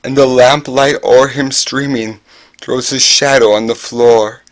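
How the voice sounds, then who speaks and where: Slow, melodramatic man reciting gothic verse. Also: medium pitch, steady tone. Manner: melodramatic, intense, swinging from hushed to shouted. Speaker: performer reciting a poem. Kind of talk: theatrical dramatic recitation of gothic horror verse. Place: carpeted basement living room with low tiled ceiling.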